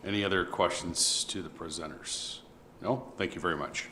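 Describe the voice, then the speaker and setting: Man, committee chair, brisk procedural, heavy noise and clicks. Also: sits low, steady tone. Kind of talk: chairing a meeting. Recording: loud background noise and clicks.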